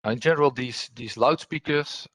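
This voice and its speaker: A man presenting a technical webinar as an engineer, with a husky voice and hesitant, repetitive phrasing. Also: medium pitch, moderate pace, fairly bright tone, no room sound.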